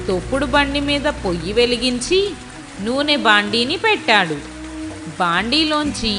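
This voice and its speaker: A woman reading scripted narration for a moral story. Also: a little high.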